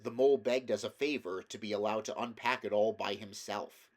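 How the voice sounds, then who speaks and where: A man narrating a children's animal story as an expressive audiobook reader. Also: on the low side, fairly quick.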